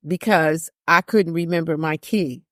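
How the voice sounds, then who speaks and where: Deep-voiced older woman, emphatic and candid. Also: unhurried, a bit bright, dry recording, clean studio sound. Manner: emphatic, repeats phrases for stress. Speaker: jazz singer, guest. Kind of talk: candid podcast conversation.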